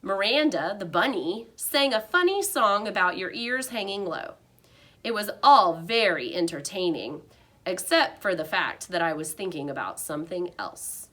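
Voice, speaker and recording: A woman narrating a children's chapter book as a read-aloud, in an expressive storytelling style. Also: medium pitch, normal speed. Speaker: storybook reader.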